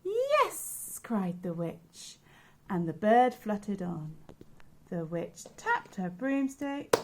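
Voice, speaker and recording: Childminder reads rhyming picture book aloud, woman, slow lively sing-song. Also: fairly low pitch. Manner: expressive, sing-song storytelling.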